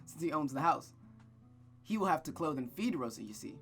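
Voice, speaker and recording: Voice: medium pitch, animated, brisk, a bit bright, soft background music, natural voice. Genial, courteous nobleman, voiced by a reader. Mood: kindly, reassuring. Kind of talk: visual novel read-aloud character voice.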